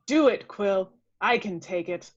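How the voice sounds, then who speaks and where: Woman reading Drax, blunt alien warrior, slow delivery. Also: mid-range voice, animated, natural voice. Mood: defiant. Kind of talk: amateur table read of a film script. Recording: muffled home microphone with noise and clicks.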